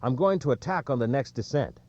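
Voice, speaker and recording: Weary male champion cyclist, voiced by a male audiobook reader: self-deprecating, plainspoken, relaxed dark tone, slightly lo-fi. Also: medium pitch, brisk, voice pushed up, lightly acted. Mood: wry, tired. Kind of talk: quoted speech in audiobook narration. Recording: dull, lo-fi audio.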